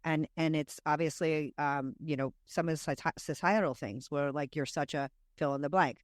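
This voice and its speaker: A woman co-hosting a casual podcast, sharing facts she looked up in a lively way. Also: sits low, normal speed, no echo, studio quality. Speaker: podcast co-host. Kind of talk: casual chat.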